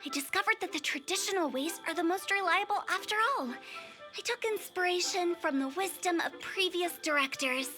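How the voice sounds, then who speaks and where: Cheeky young female funeral director for anime game: extremely high, salesy, theatrical, over music. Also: normal speed, pushed and bright. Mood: proud, persuasive. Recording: game audio with music behind.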